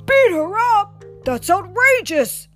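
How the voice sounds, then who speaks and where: A woman's voice: fairly high pitch, big pitch swings, moderate pace, fairly bright tone, soft background music. A woman voicing an easily outraged, protective father bear with emphatic indignation, in a storybook reading.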